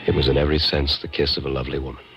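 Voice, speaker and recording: A man's voice: very deep voice, little pitch movement, rapid-fire, over music. An actor playing a grave, haunted, hesitant man in a mid-century radio drama. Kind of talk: 1950s radio drama acting.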